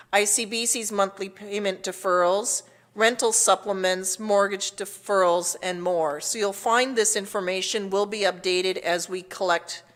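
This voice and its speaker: A woman with a Canadian accent speaking as mayor, reading out a formal public address in a measured, official manner. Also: mid-range voice.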